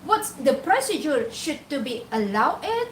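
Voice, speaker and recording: A woman's voice: sits high, big pitch swings, a little slow, echoey room, recorded from a distance, slight background noise. A Javanese woman from Indonesia with an Indonesian accent, hosting a casual live-stream talk and answering her own questions as she explains. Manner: poses questions and answers them herself. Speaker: live-stream host advising employers on hiring helpers.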